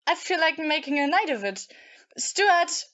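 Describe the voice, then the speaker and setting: A woman playing a tipsy, demanding young woman in an audio play, loud and insistent as she drawls. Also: very high voice, sing-song, unhurried, lo-fi sound, full character voice. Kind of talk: amateur audio play acting.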